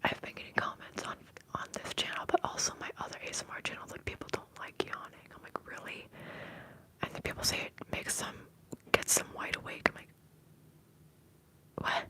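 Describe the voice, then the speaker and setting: A woman hosting an ASMR live stream, whispering softly as she rambles and greets viewers in the chat. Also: quick pace, poor audio. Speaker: ASMR livestream host.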